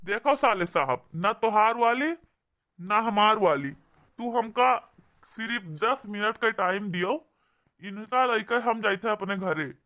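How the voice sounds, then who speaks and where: Man playing an earnest cartoon husband, Awadhi accent, complaining, pleading, high and bright. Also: expressive, slow, full character voice. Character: aggrieved village husband, earnest defender of his wife. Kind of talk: amateur comedy cartoon dialogue.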